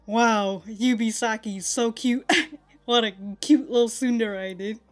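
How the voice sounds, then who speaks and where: A woman giving casual, animated reaction commentary as a video host, chatty and slangy, swearing freely. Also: fairly high pitch, measured pace. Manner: chatty, laughs often while talking.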